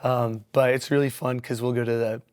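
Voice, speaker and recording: A young man with a hoarse, raspy voice from yelling, chatting upbeat and animated as a dentist guest on a local talk show. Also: mid-range voice, fairly quick. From Missouri Ozarks. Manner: upbeat, animated, many fillers. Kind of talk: casual interview.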